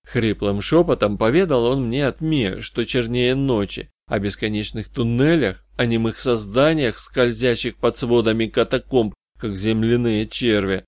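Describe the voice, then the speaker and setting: A man's voice: medium pitch, measured pace, hardly any pauses, no room sound. Male audiobook narrator, dry close recording. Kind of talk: scripted first-person detective fantasy narration.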